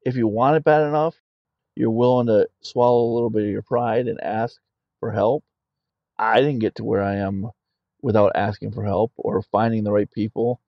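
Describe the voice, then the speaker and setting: A man's voice: on the low side, steady tone, moderate pace, no room sound, lo-fi sound. A middle-aged man, an army veteran guest on a podcast, talking in a plainspoken way and telling stories. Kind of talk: conversational interview.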